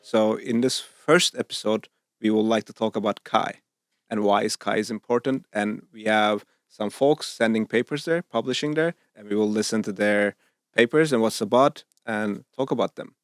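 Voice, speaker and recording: A man moderating a podcast, with slow, halting phrasing and non-native grammar. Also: on the low side, dry recording, clean studio sound. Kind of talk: casual podcast chat.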